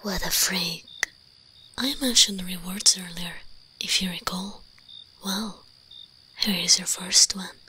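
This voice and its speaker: A woman voicing an imperious, teasing vampire queen in a breathy ASMR roleplay whisper, commanding, condescending and seductive. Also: lowish voice, steady tone, slow, pauses often, pushed and bright, exaggerated voice, rough recording.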